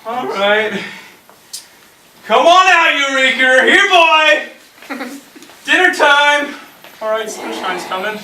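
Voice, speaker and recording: A man's voice: very high-pitched, animated, takes it slowly, pauses often, heavily acted. A man playing a hot-headed, suspicious, sarcastic survivor in an amateur comic zombie stage play. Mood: taunting. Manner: loud, indignant, sarcastic. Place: indoor stage performance, recorded from afar. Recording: distant, roomy live stage recording, faint noise.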